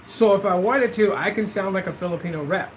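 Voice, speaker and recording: A man hosting a livestream, rambling in a loose, repetitive way and drifting between louder and quieter stretches. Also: high-pitched, a little fast, a little hiss or hum. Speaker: livestream host. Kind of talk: casual livestream rant.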